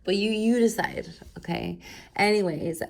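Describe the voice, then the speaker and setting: A woman's voice: mid-range voice, measured pace, creaky, slight background noise. Stuffy-voiced woman with a head cold. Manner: chatty, rambling asides with many fillers. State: has a cold, stuffed up and coughing. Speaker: tarot reader. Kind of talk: casual pick-a-pile tarot reading to camera. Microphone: camera mic.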